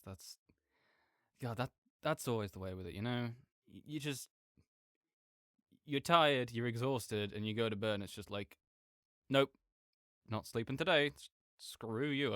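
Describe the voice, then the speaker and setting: A man plays an easygoing, apologetic gamer roommate in a late-night audio roleplay. His voice is clear and smooth, captured dry and close. He talks in a casual, rambling way, often doubling back on himself with mild exasperation. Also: medium pitch, a bit bright, no echo, natural voice. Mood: sympathetic, chatty. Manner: rambling, hesitant, self-interrupting, mildly exasperated. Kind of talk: casual improvised-sounding audio roleplay monologue.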